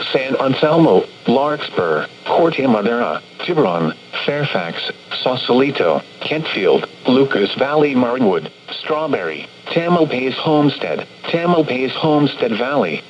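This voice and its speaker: Automated male weather radio announcer, American, mid-pitched, formal and stiff text-to-speech bulletin read, noisy reception. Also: big pitch swings, measured pace, muffled recording. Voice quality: synthetic text-to-speech sound. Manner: formal, impersonal, stiffly segmented reading. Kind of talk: scripted emergency weather bulletin.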